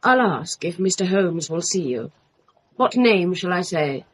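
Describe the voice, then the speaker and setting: A woman's voice: lowish voice, animated, measured pace, husky, low-quality recording. A woman playing a formal, guarded Victorian household helper in a radio drama.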